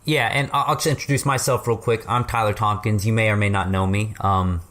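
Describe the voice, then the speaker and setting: A man's voice: lowish voice, fairly even intonation, fairly quick, a bit bright. A man hosting a casual panel podcast in a joking, tongue-in-cheek way. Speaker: podcast host.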